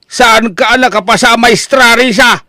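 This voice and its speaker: A man with an Ilocano accent acting a proud, hot-tempered father in a radio drama, with emphatic, drawn-out delivery. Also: very high voice, fairly even intonation, bright, forceful tone, dry recording, clean studio sound, exaggerated voice. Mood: furious, shouting.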